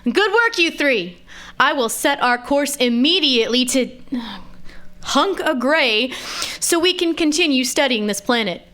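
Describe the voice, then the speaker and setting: A woman playing a composed, commanding space admiral in an audio drama, with a measured, authoritative and formal delivery. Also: high voice, expressive, bright, forceful tone, heavily acted. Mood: brisk, commanding.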